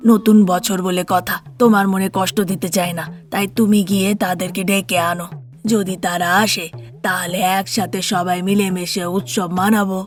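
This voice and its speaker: A woman's voice: mid-range voice, flat delivery, normal speed, soft background music, lightly acted. A woman playing a placid, reluctant elderly husband and grandfather in a cartoon, speaking in a mild, grumbling manner. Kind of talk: Bengali cartoon dialogue.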